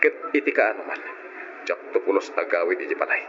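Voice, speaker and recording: A callous, unfaithful husband in an old radio play. He is gruff, accusing and dismissive. The sound is dull with faint noise. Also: low-pitched, expressive, fast talker. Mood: accusing, bitter. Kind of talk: old radio drama acting.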